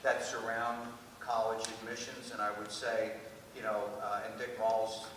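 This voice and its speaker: A man's voice: on the low side, monotone, measured pace. Man on a panel in an echoey hall. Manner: informal, conversational. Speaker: college admissions officer on a panel. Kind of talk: panel discussion, anecdotal. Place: large room at a public panel event. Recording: echoey hall from far off, noisy.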